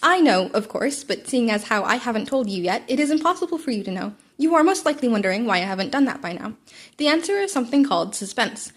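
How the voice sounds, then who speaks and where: A woman's voice: a little high, sing-song, quick pace. A woman reading fan fiction aloud as an amateur narrator, with wry, formal asides to the listener. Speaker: amateur audiobook reader.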